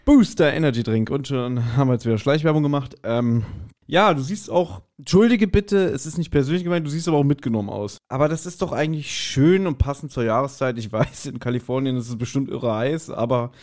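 A man's voice: mid-range voice, a little fast, few pauses, darkish tone. A man hosting a podcast in casual, jokey chat, with a rambling, self-deprecating manner. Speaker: podcast host.

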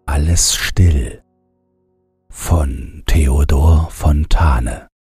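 A man reading a bedtime storybook as an audiobook narrator, with a gravelly, husky voice and measured, drawn-out phrasing. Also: extremely low pitch, mellow, no echo, faint music behind. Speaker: audiobook reader.

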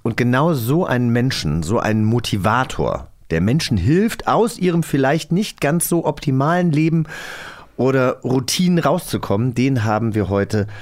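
A man's voice: medium pitch, expressive, normal speed, darkish tone. A man chatting casually and enthusiastically as a podcast host. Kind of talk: casual chat.

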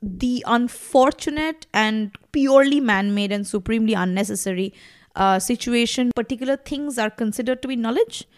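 A woman's voice: fairly high pitch, normal speed. A woman in her thirties whose first language is Bangla, talking in a reflective, passionate way with many fillers as a podcast guest. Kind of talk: casual conversation.

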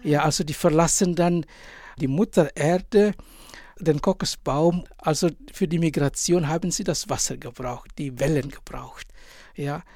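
A man in his forties with an Indonesian accent tells his story in a slightly raised voice. He speaks haltingly, stopping often to hunt for words in a language that is not his own. The recording is dry, with no room sound. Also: a little high, darkish tone, no echo. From Indonesia, island of Sumba. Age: 40s. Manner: halting, many pauses and fillers, searching for words. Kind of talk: interview answers.

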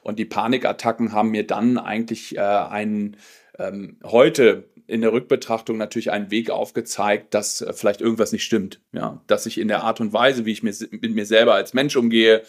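A man in his forties with a loud, commanding voice, telling his own story as an interview guest in a reflective, halting way. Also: fairly low pitch, steady tone. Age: 40s. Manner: reflective, halting with frequent pauses and repeated words. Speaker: podcast guest, personal development coach.

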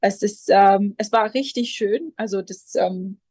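A woman in her twenties from Jerusalem, talking as a pastor in an interview. She hesitates often and restarts her sentences while she looks for the right words. The sound is dry, with no room echo, and her voice sits at an average pitch. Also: measured pace, a little mellow, slightly rough. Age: 20s. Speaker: Lutheran pastor, guest. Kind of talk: casual interview answers.

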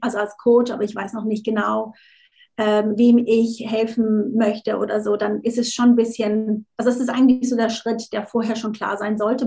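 A woman's voice: on the high side, darkish tone. A woman interview guest, a coach, talking casually, often restarting words and slipping in English phrases. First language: not German, unsure of her German.

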